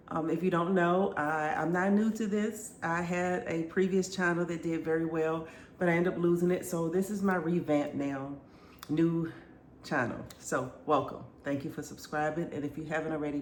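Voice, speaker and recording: A woman in her fifties, congested and stuffy-sounding, chatting casually to her viewers and telling stories with frequent laughs. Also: low voice. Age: 50s. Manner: chatty, laughs often, lively storytelling. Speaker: vlogger. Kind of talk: casual chat to camera. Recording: echoey room, faint background noise.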